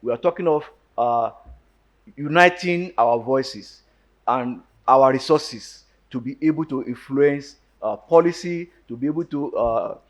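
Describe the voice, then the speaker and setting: A man's voice: medium pitch, unhurried. A man speaking as a panelist at a farmers' forum, hesitant, with frequent fillers and restarts. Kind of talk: panel discussion answer.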